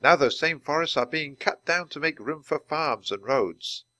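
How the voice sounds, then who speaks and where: A man lifts his voice to play a composed young woman scientist addressing a group. She speaks formally and carefully, in a clear, bright, storybook tone. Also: on the high side, lively intonation, dry recording, pitched above the performer's own voice. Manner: formal, measured, instructive.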